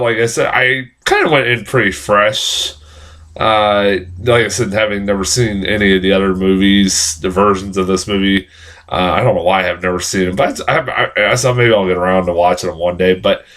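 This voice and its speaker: A young man with a hoarse, nasal voice from a cold, casually rambling through a film review with lots of fillers and swearing. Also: lowish voice, moderate pace, a bit bright. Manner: rambling, frequent fillers and profanity.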